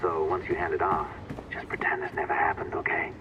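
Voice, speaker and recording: A man's voice: a bass voice, flat delivery, brisk. A man playing a chummy, conspiratorial IT technician giving casual instructions over a communicator in a video game. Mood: sly, joking. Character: sly, chummy IT technician coaching a hacker. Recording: dull, very noisy radio transmission.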